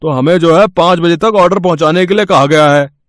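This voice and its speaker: A man's voice: a little high, fairly quick, no echo, studio quality, natural voice. A man playing a talkative bakery owner in a dramatised moral story, tagging his remarks with little questions. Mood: businesslike. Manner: talkative, adds tag questions. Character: brisk, chatty bakery owner, male boss.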